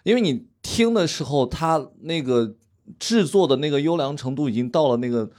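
A man's voice: a little high, animated, normal speed, a little mellow. Hesitant, rambling man chatting. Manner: rambling, hesitant storytelling with many restarts. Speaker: podcast guest. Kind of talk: casual chat.

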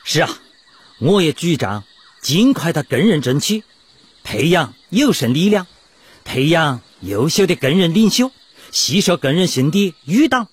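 High, husky male revolutionary delegate. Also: big pitch swings, unhurried, heavily acted. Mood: earnest, resolute. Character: earnest male revolutionary delegate chairing a 1921 congress. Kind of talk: Chinese historical radio drama acting.

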